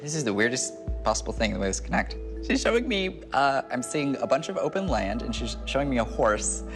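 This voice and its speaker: A man in his twenties speaking as a medium, relaying impressions in a halting, conversational way. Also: medium pitch, very animated. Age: 20s. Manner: halting, narrating impressions as they come. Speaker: medium, show host. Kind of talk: psychic reading, spontaneous conversational. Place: indoor TV studio set. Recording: music behind the voice. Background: music.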